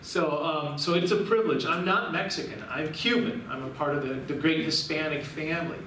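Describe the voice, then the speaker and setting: A middle-aged man from Cuba giving a public lecture, talking warmly with frequent restarts, recorded from far away in a large room. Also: fairly high pitch, a little fast, slight background noise. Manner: warm, rambling with frequent restarts. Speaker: guest lecturer. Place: large room with projection screen, indoors. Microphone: far camera. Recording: very echoey room, recorded from afar.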